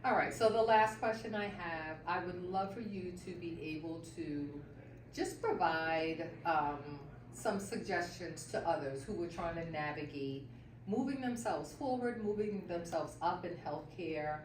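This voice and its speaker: A woman's voice: lowish voice, measured pace, recorded from a distance. A woman interviewer and career coach who asks encouraging questions, affirming often and tagging her sentences with "right?". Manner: affirming, frequent "right?" and "awesome". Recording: echoey room, voice a bit off-mic, noisy.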